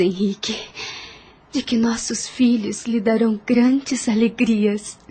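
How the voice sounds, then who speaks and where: Actress doing a weak dying young mom in an audio drama, breathy raspy kinda high voice, super slow, halting, tender but firm. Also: female voice, mellow.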